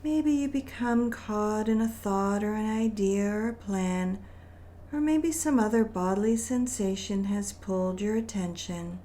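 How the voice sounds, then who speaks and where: A woman leading a guided meditation in a gentle, soothing manner, with deliberate phrasing and long pauses. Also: mid-range voice, a little hiss or hum.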